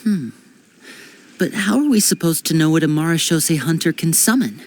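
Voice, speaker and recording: A man's voice: a little high, animated, normal speed. A man voicing a curious young man who muses aloud, puzzled by the rules. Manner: puzzled, musing. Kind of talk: game voice acting.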